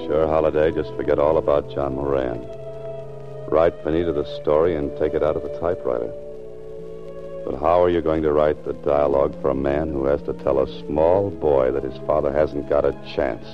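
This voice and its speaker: An actor playing a world-weary, wry writer turned amateur sleuth in a 1940s radio drama, often talking to himself as narrator. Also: male voice, rumbling low, steady tone, normal speed. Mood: wry, downcast. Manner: wry, self-addressing narration. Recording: muffled 1940s radio recording with music.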